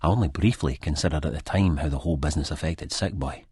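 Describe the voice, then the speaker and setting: A Scottish man reading a first-person story in a colloquial, confiding, conversational way, with a husky voice. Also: rumbling low, fast, a little mellow, no echo, studio quality. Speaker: audiobook narrator. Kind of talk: dramatic first-person scripted narration.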